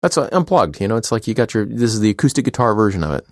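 A man's voice: fairly low pitch, very animated, racing, no room sound, studio quality. A man co-hosting a chatty podcast, rambling with restarts and easy laughs. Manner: rambling, self-interrupting, laughs easily. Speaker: podcast co-host and editor. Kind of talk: casual chat.

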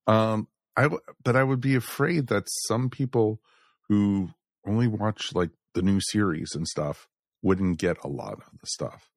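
A man's voice: lowish voice, measured pace, no echo, studio quality. A man chatting casually and enthusiastically as a panelist on a podcast. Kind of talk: casual chat.

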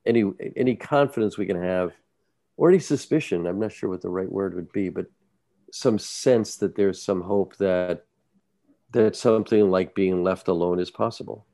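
Deep-voiced male journalist, casual podcast talk, halting with restarts, dry sound. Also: gravelly, mellow, no echo. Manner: halting, many restarts and repeated words.

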